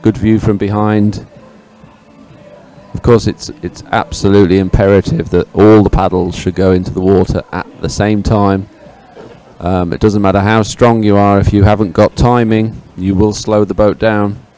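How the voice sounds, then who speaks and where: A man giving live sports commentary in a chatty, hesitant, partly ad-libbed way with frequent pauses. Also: lowish voice, little pitch movement, warm tone, faint music behind. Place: live broadcast at an outdoor regatta venue.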